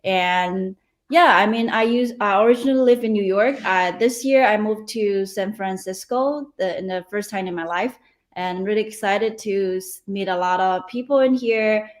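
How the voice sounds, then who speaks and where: A woman introduces herself on a video call with a mid-pitched voice. She keeps talking in a chatty, excited way. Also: normal speed. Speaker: career changer from finance joining a hackathon.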